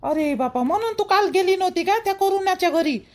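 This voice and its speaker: A woman's voice: extremely high pitch, expressive, a little fast, darkish tone. A woman voicing a middle-aged, protective, gossipy mother-in-law in a village cartoon, emphatic and repetitive, with a Vidarbha Marathi accent. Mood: surprised, probing. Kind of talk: rural family cartoon dialogue.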